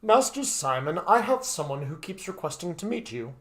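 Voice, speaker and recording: Story role, read by a man: loyal butler, polite and composed, formal measured delivery. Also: fairly high pitch, big pitch swings, a bit bright, pitched above the performer's own voice. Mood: firm, courteous. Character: devoted, formal male butler, calm and dutiful.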